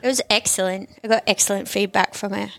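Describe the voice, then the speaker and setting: A woman's voice: medium pitch, steady tone, quick pace, vocal fry, fairly bright tone, no room sound. Cheeky woman bantering on a podcast.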